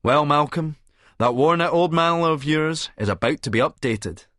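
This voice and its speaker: An actor with a Scottish accent narrating an audiobook as a cynical, vain Edinburgh publican, smug, sardonic and wordy. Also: male voice, on the high side, normal speed, fairly bright tone, no echo, studio quality, lightly acted. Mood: gloating. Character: cynical, vain male Edinburgh publican and schemer, smugly self-satisfied. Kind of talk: first-person audiobook narration in character.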